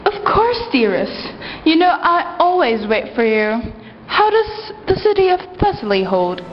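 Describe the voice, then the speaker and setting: A woman's voice: high-pitched, sing-song, unhurried, lo-fi sound, slight background noise. A woman playing a devoted, anguished young queen in a radio play, with imploring, melodramatic delivery and formal old-fashioned diction. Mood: loving. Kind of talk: amateur radio play acting of Greek myth. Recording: reverberant hall sound under music.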